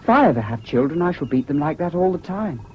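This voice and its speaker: An earnest, eccentric English schoolboy, played by an actor in an old radio play, with a public-school accent and swooping, animated pitch. He is polite and self-deprecating. The broadcast is muffled and hissy. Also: fast talker, close to an everyday voice. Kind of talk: 1970s BBC radio drama acting.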